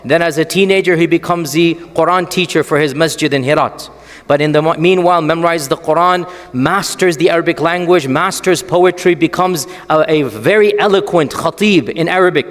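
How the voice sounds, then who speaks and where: A man giving an animated, storytelling religious lecture and often asking the audience rhetorical questions. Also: fairly high pitch, in a large hall. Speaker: Islamic lecturer.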